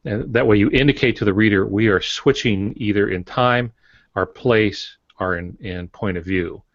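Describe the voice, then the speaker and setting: An older man co-hosting a writing podcast, explaining in a measured way with hesitant fillers. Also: fairly low pitch, dry recording. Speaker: podcast co-host. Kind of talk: casual writing craft explanation. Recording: dry, dull lo-fi audio.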